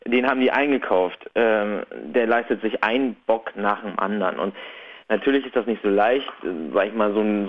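A man's voice: on the low side, narrow, tinny audio. A male caller from Bremen on a radio phone-in, hesitant and full of fillers. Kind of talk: phone-in chat.

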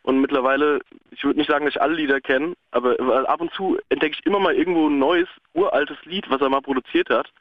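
A man's voice: on the high side, steady tone, fast, no room sound, muffled recording. A male caller on a radio show, talking casually and hesitantly with slang. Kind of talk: phone-in chat.

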